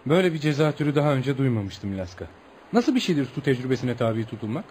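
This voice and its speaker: An actor playing a curious, kindly foreign gentleman writer who gives patient, reassuring advice in a Turkish radio play. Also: mid-range voice, sing-song, quick pace, close to an everyday voice. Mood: curious, shocked. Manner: avuncular, inquisitive, reassuring. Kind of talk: Turkish radio theatre acting. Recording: background noise and ambience.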